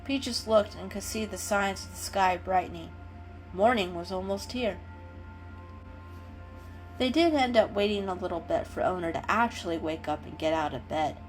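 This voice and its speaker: A woman's voice: medium pitch, moderate pace, low-quality recording. A woman giving a dramatic storybook narration as a storyteller. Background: music.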